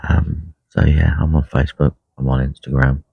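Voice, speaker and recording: A man with a croaky voice talking quietly and unhurriedly on a live stream from a lake bank, full of ums and uhs. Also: a bass voice, level tone, moderate pace, no room sound. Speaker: carp angler livestreaming. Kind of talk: casual live stream chat. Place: lakeside fishing swim outdoors. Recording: dull lo-fi live stream audio.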